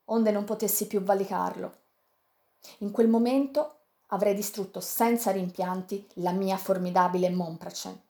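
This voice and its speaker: A woman reading an audiobook, voicing a proud male pirate chief who speaks softly and low in grief and fiercely in anger. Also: creaky, lightly acted.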